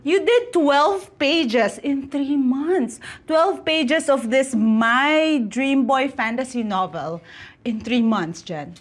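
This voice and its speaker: An actress playing an exasperated, nagging book editor in a romantic comedy, insistent and chiding with a Filipino accent. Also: female voice, high voice, extremely slow, heavily acted. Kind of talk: romantic comedy film acting.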